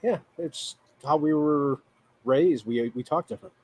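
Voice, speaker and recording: A man's voice: a little high, a little slow, darkish tone, slightly rough, poor audio. A man chatting casually as co-host of a live online show. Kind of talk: casual chat.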